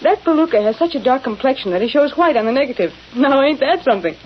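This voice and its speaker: Fast, high-voiced, slangy photographer. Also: female voice, lively intonation. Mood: excited, breezy. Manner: chatty wisecracking slang. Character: breezy, slangy, excitable newspaper photographer. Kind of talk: old-time radio acting. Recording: dull, hissy old radio recording.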